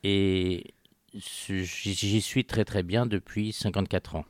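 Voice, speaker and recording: An older man with a slight Parisian accent, a guest in a radio studio, telling his story in a soft-spoken, unhurried way. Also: low voice, fairly even intonation, no room sound. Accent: slight Parisian French. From Paris, France. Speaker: radio guest, heritage association president. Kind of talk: casual radio interview.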